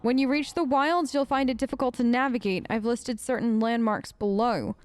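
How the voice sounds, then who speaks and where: High-voiced woman playing through a game. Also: moderate pace. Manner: playful, bantering. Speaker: gaming video host. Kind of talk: role-play let's play commentary, chatty.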